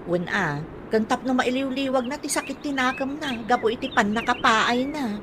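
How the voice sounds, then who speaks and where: An actress playing a sensible grown daughter and elder sister in a comedy radio drama, matter-of-fact and gently admonishing. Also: female voice, high-pitched, moderate pace, close to an everyday voice. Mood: calm, confiding. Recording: clearly noisy ambience, birds behind.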